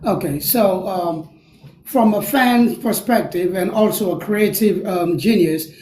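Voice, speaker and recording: Interviewer, mid pitch, easygoing questions, echoey room, faint noise. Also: unhurried, warm tone. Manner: brief backchannels, easygoing questions. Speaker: interviewer host. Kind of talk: casual interview.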